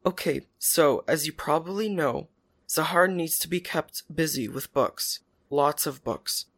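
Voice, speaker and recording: A man voicing a brisk adult female schoolteacher in a storybook reading, giving matter-of-fact instructions in a fairly high voice. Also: gravelly, a bit bright, exaggerated voice.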